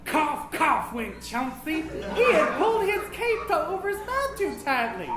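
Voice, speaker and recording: A man's voice: extremely high pitch, sing-song, measured pace, full character voice. A man voicing a vain, absent-minded old vampire, reading a comic storybook aloud in a melodramatic, pompous, campy manner. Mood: fussy, musing. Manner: melodramatic, pompous, campy, hushed then suddenly loud. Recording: echoey room, noisy live recording.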